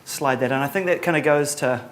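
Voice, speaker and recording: A middle-aged man from New Zealand with a Kiwi accent, giving a relaxed conference talk with lots of ums and a dry sense of humor. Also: mid-range voice, brisk. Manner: casual, many ums and uhs, dry humor. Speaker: conference presenter.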